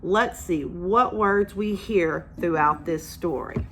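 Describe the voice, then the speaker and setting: A woman's voice: lowish voice, takes it slowly, a bit bright, a little hiss or hum. A woman teacher reading aloud to young children, explaining simply and asking encouraging questions as she goes. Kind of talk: interactive read-aloud lesson for young children.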